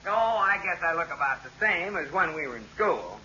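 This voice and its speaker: An actor playing an excitable, easily exasperated husband with an emphatic, blustering manner in a 1940s radio sitcom. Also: male voice, on the high side, fairly quick, heavily acted. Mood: hearty, jovial. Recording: scratchy muffled old-time radio recording.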